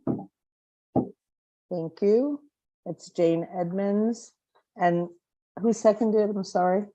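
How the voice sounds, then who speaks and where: Slow, mellow-voiced woman chairing a meeting. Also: medium pitch, lo-fi sound. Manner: measured, slightly halting with fillers. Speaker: vice chair running a public commission meeting.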